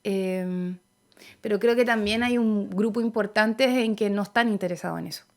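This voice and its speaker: A woman's voice: mid-range voice, normal speed, darkish tone. Thoughtful young Chilean woman. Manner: thoughtful, searching for words, hesitant fillers. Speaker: interview guest. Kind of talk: reflective conversational interview.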